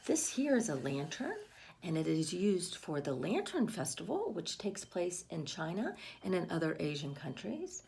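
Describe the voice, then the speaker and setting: A woman's voice: fairly low pitch, big pitch swings, normal speed, vocal fry, a little mellow. A woman reading a picture book aloud to children, expressively, with asides and questions addressed to the young listeners. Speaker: read-aloud storyteller for children.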